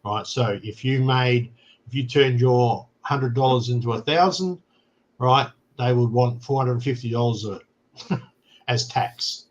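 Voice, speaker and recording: A man's voice: mid-range voice, measured pace, highs cut off. An Australian man hosting a casual talk show, explaining things plainly and often checking in with "right?". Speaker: show host. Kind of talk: casual chat.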